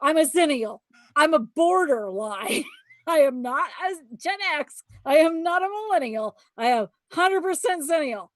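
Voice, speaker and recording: A woman's voice: high-pitched, expressive, a little slow, no echo. A woman in her forties co-hosting a casual chat, tipsy from cocktails, blunt and profane with lots of laughter. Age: 40s. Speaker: podcast co-host.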